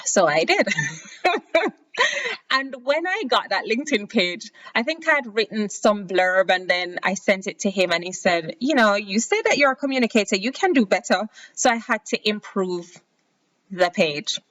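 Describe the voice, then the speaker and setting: A young woman with a Jamaican accent talks through her own story in a relaxed, chatty podcast style. Her voice sits at a middle pitch, and she livens up when she acts out conversations with other people. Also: moderate pace, poor audio. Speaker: podcast host. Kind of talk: casual personal storytelling.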